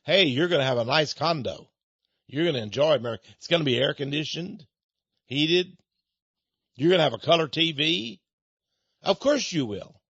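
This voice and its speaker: A man's voice: on the high side, animated, moderate pace, creaky, long pauses, fairly bright tone, slightly rough, no echo, dull audio. A man hosting a radio talk show, delivering a rambling, sarcastic monologue straight to his listeners. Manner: sarcastic, mocking; rambling, addresses listeners as folks. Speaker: radio host.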